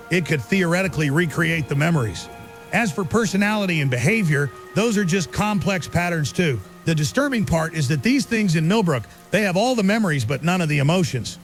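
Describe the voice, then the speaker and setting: An earnest conspiracy researcher with a high, gravelly voice, giving an interview in a radio drama. He explains things with urgent conviction while music plays underneath. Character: earnest, insistent male conspiracy researcher guest. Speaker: interview guest. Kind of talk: talk show interview in audio drama.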